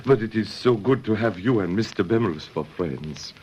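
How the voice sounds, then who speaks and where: An actor playing a gentle, nervous young immigrant man who speaks hesitant, broken English in a radio drama. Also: fairly low pitch, expressive, muffled recording, slight background noise, close to an everyday voice. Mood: humble, grateful. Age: young adult. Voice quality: foreigner's halting, broken English. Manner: hesitant, deferential, polite.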